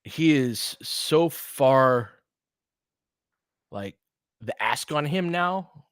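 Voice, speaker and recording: A man's voice: medium pitch, lively intonation, extremely slow, fairly bright tone, smooth, no room sound, studio quality. A man calling a basketball game in a conversational, slangy way, swearing casually as he mixes play-by-play with analysis. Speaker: podcast host doing play-by-play.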